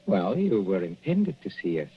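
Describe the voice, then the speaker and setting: An actor playing a gloating, murderous older professor in 1940s radio suspense style, mock-courteous and savoring every hesitation. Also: male voice, deep voice, very animated, normal speed, a little hiss or hum. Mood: smugly menacing. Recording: muffled, dull old radio recording.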